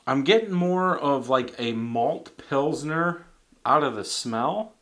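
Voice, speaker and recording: A man in his thirties giving a casual beer tasting review at a home bar, thinking aloud with halting restarts. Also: medium pitch, lively intonation, a bit bright. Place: home bar in front of a banner.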